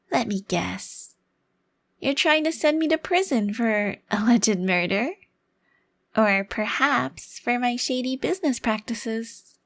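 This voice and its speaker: A woman's voice: on the high side, no room sound. Smug, youthful immortal vampire woman acting, dry close sound. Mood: smug, mocking. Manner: theatrical, condescending, formal wording with drawn-out emphasis. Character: short, youthful-looking immortal vampire crime lord, smug and taunting. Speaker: audio roleplay performer. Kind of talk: ASMR roleplay acting.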